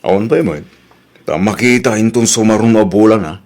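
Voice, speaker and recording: A man's voice: on the low side, brisk, a little mellow, lightly acted. A man with an Ilocano Filipino accent playing a bossy, money-minded middle-aged village captain in a comedy audio drama. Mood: scheming. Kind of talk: comedy radio drama acting.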